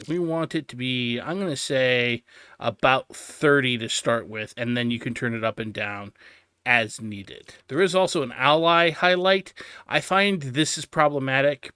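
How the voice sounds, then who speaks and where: A man's voice: a little high, sharp tone, clean tone, dry recording. A man hosting a gaming video, giving instructional tutorial commentary and stressing his key advice.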